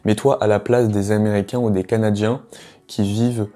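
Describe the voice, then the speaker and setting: A man's voice: fairly low pitch, level tone, normal speed, mellow. A man giving a casual video lesson as an English teacher, talking straight to the viewer with many hesitations. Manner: chatty, addresses viewer directly, many hesitations.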